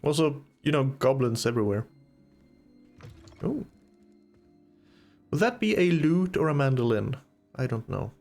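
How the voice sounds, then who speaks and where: A man's voice: medium pitch, sing-song, a little slow, creaky, long pauses, a little mellow. A Swedish man with a Swedish accent commenting casually on a game he plays, with dry, wry remarks. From Sweden. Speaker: let's player. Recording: voice over game with faint music.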